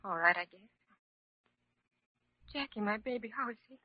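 A woman's voice: fairly high pitch, animated, normal speed, no room sound. A woman playing a distraught young American mother, hesitant and plaintive, in an old-time radio drama. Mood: anxious, weary. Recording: muffled vintage radio recording.

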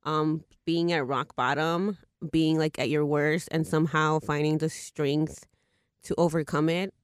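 A woman's voice: deep voice, fairly even intonation, a little slow, clear, resonant tone, no echo, clean studio sound. Hesitant woman co-host. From United States. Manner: hesitant with "um" starts, self-conscious. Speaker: podcast co-host and tarot reader. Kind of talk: casual podcast chat.

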